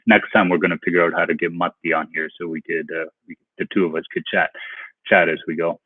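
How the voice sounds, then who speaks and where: A man hosting a casual livestream, reading chat questions aloud and answering them, often switching into halting Finnish with many restarts. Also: sits low, little pitch movement, husky. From Canada. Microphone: headphones with mic. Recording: tinny phone-like livestream audio.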